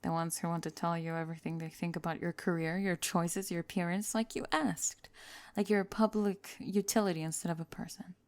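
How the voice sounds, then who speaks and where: A woman's voice: fairly low pitch, fairly even intonation, fairly quick, lightly acted. A woman acting a famous young pop star on a date in an ASMR roleplay, confiding and hesitant, kind and playful but world-weary. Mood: weary, candid. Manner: confiding, hesitant, playful, trailing into soft murmurs. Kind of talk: intimate ASMR roleplay audio, one-sided date conversation.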